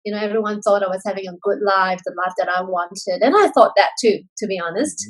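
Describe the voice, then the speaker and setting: Woman, forties, from Singapore, earnest and reflective, restarts often, mid-pitched voice. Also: fast talker. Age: 40s. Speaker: interview guest. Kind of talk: candid personal storytelling.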